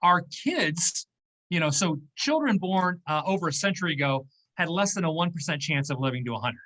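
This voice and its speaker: A man's voice: fairly high pitch, animated, brisk, pushed and bright, poor audio. A man in his fifties, a business owner speaking as a guest in an interview, explaining in a conversational way and checking in with "okay?" and "right?". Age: 50s.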